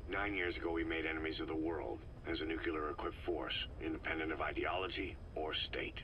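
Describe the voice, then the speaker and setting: Game role: laconic, weary soldier legend. Rock-bottom pitch, dry understatement, clearly noisy recording. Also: male voice, steady tone, fairly quick. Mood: questioning. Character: terse, weary legendary male mercenary commander. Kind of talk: video game dramatic dialogue. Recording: muffled lo-fi tape with loud noise.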